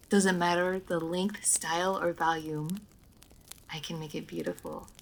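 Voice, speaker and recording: An ancient vampire lady, played by a woman, speaks slowly in a fairly low voice. She is proud and guarded with a playful edge, and her wording is formal and old-fashioned, as in an intimate audio roleplay. There is noticeable noise behind her. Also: creaky, a little mellow, poor audio. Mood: wistful, reserved. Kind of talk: roleplay audio drama addressed to the listener.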